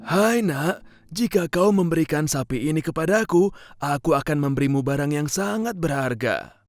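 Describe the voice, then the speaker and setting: A man's voice: on the high side, expressive, normal speed, soft background music. A man playing a strange old man in a children's cartoon tale, coaxing and persuasive. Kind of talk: children's storybook cartoon dialogue.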